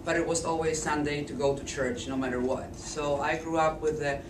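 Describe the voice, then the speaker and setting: Hoarse woman in her fifties with a Polish accent, speaking slowly and carefully; distant camcorder sound in an echoey room. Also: deep voice, fairly even intonation, noisy background. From Poland. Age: 50s. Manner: slow, deliberate speech with frequent restarts. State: hoarse, voice lowered by illness. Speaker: interviewee recounting life story. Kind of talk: oral history testimony. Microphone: Flip camcorder at a distance.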